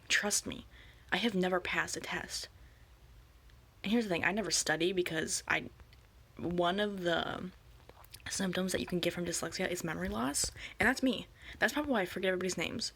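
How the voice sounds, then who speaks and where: A teenage girl talking casually to her channel, husky as she loses her voice, rambling with many fillers and restarts. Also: fairly low pitch, a bit bright. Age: teens. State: losing her voice. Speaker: vlogger. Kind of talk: casual chat to camera. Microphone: home microphone.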